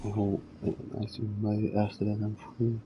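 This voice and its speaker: A man's voice: fairly low pitch, monotone, unhurried, dull audio, noisy background. A man streaming a video game, chatting casually and thinking aloud as he plays. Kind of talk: casual chat while gaming.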